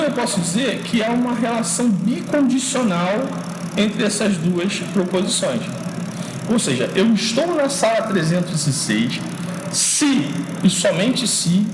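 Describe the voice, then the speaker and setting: A male teacher giving an informal logic lesson, often checking in with "né?" and restarting his sentences as he thinks aloud. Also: sits high. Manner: explaining slowly, frequent checks like "né?" and "tá?"; restarts and repeats words while thinking aloud. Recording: echoey classroom from far off with street noise.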